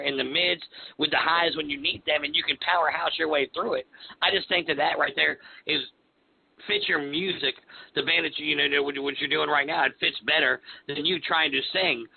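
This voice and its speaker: A man hosts a live radio show, enthusiastic and repetitive in his praise. His voice is gravelly and a bit high. It comes through a thin, phone-like line with faint hiss behind it. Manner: enthusiastic, repeats "right there". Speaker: radio host. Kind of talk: casual radio interview.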